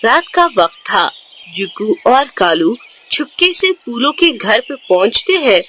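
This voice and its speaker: Woman, cartoon story narrator, high bright voice, scripted, measured slow pace, faint noise behind. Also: sing-song.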